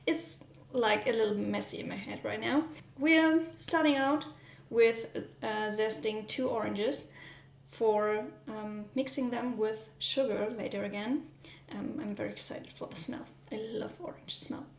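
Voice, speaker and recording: A German woman with a German accent, vlogging in a gentle, hesitant way with frequent ums while baking in her home kitchen. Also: a little high, a little slow, creaky, echoey room, slight background noise. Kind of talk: soft-spoken vlog narration.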